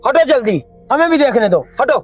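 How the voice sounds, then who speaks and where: A man playing a bossy, suspicious villager in a cartoon story, exclaiming loudly and emphatically. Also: very high-pitched, big pitch swings, normal speed, breathy edge, soft background music, exaggerated voice. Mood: impatient.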